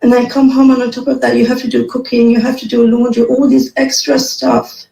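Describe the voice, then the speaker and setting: Young woman from Afghanistan, mother venting in an earnest monologue; somewhat high, soft mellow tone, roomy echo. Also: level tone, fairly quick, vocal fry. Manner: earnest, venting, lists chores one by one. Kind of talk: personal monologue to other mothers.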